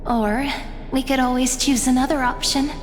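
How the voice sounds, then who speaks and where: An actress playing an earnest young heroine in a video game cutscene, speaking in a questioning way. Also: female voice, sits high, fairly even intonation, moderate pace, natural voice. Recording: reverb with music behind.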